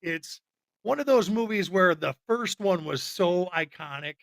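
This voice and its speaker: A man's voice: high-pitched, animated, a little slow, gravelly, no room sound, studio quality. A man co-hosting a podcast, talking casually about films in a rambling, informal and opinionated way. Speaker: podcast co-host. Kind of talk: casual film chat.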